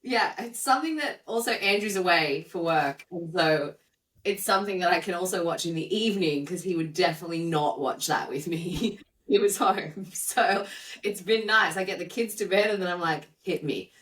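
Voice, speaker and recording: A slightly hoarse, croaky woman co-hosting a podcast, chatting casually in a candid, gossipy way. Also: on the low side, normal speed, a bit bright, recorded from a distance. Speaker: podcast co-host.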